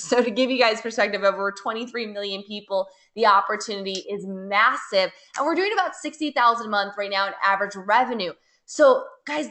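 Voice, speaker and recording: An American woman delivers a persuasive webinar presentation as a team leader, leaning on repetition to drive her points home. Her voice is bright and forceful and sits a little high. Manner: upbeat, persuasive pitch with repeated phrases. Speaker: network marketing team leader.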